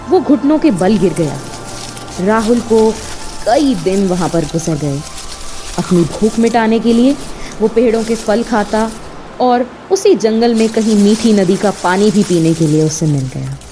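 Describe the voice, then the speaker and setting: A woman narrating a scripted audio story, expressively addressing her listeners as a storyteller. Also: medium pitch.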